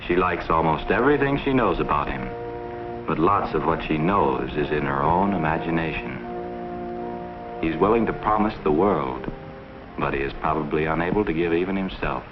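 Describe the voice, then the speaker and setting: Very low male narrator, measured n analytical, muffled old 50s film reel sound. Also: expressive, over music. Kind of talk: 1950s educational film scripted narration. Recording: muffled 1950s film soundtrack, faint noise.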